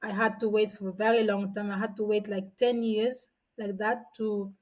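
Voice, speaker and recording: A woman's voice: medium pitch, level tone, moderate pace, darkish tone. A woman in her forties from São Tomé and Príncipe, a guest telling stories in a chatty way with frequent restarts. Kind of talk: casual storytelling.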